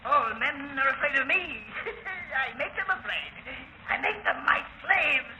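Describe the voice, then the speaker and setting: An actor in a 1930s radio drama playing a crazed, lonely kidnapper who dwells in dark tunnels, boastful, menacing and agitatedly repetitive. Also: male voice, very high voice, expressive, a little slow. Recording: dull noisy 1930s radio recording.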